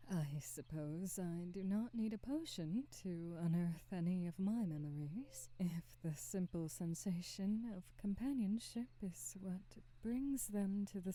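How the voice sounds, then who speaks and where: Woman acting as a gentle wistful witch, very soft dark tone, unhurried pace, breathy half-whisper, formal wording, ASMR roleplay. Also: fairly low pitch. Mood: wistful, hesitant. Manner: slow, gentle, formal old-fashioned phrasing. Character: kindly, wistful witch potion-seller, tender and gentle. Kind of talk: intimate ASMR roleplay, scripted monologue.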